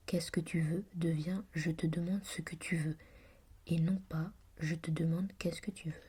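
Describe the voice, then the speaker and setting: A woman whispering as she reads aloud from a language reference book, softly musing and adding her own comments. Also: sits low, fairly even intonation, husky. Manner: whispering throughout; soft and musing, pausing to comment. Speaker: reader.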